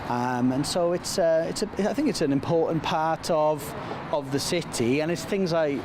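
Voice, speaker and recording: A man's voice: a little high, normal speed, noisy background. A man from Cardiff with a Welsh accent, interviewed outdoors and speaking with enthusiasm, often saying "you know.". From Cardiff, Wales. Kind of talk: casual interview.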